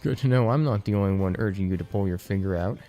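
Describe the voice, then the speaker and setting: Give the part to a cocky young student played by a man, full of mocking banter. The pitch sits lifted and the delivery is teasing and jocular, like a best friend in an audiobook story. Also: fast talker, mellow, soft background music, natural voice.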